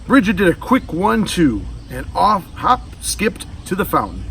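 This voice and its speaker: A man reading a children's storybook aloud in an expressive, animated style. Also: sits high, moderate pace, creaky. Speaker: storybook reader. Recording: distant mic with faint background noise.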